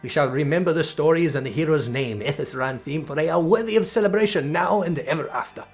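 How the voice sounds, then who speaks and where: A man's voice: on the high side, quick pace, pitched above the performer's own voice, natural voice. Fantasy game creature villager, male player doing the voice: earnest, ceremonious, clipped third-person phrasing, music underneath. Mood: reverent. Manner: formal, clipped article-free speech, speaks in third person.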